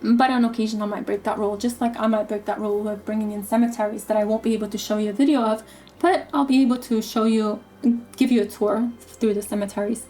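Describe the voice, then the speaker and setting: A woman who is losing her voice, talking casually about her game plans in a halting way, repeating words and filling pauses with um. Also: mid-range voice, steady tone, mellow, poor audio. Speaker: gaming YouTuber. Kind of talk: casual gameplay commentary.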